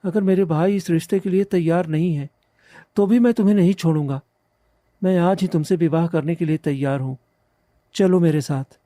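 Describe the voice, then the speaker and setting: A devoted young village groom in a cartoon tale, acted by a man. He sounds high for a man yet very soft and dark in tone. He speaks earnestly and respectfully, in a dry, close recording. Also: moderate pace, no room sound, close to an everyday voice. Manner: earnest, pleading. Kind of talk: Hindi animated moral story dialogue.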